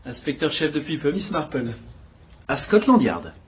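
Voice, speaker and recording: An actor playing a courteous young police inspector in a dubbed drama, speaking warmly and respectfully. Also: male voice, on the high side, wildly swooping pitch, normal speed, heavy background noise.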